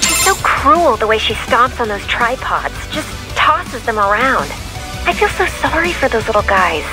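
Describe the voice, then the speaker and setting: An actress playing a soft-hearted, playfully stubborn young support operator in a video game, chirpy and casual. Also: female voice, a little high, big pitch swings, a little slow, poor audio. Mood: sympathetic, playful. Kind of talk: video game radio dialogue acting. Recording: game audio with clear music.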